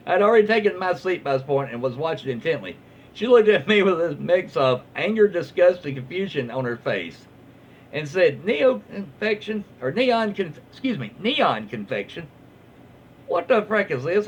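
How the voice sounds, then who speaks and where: A man with a Southern US accent and a husky voice reading online posts aloud in a casual, comedic, easily amused way. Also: a little high.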